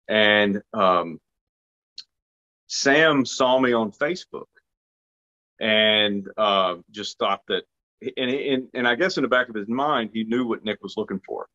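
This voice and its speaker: A man's voice: fairly low pitch, bright, forceful tone. A man from Arkansas, an actor being interviewed, talking casually with frequent restarts and self-deprecating remarks. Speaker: actor guest. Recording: dull lo-fi sound.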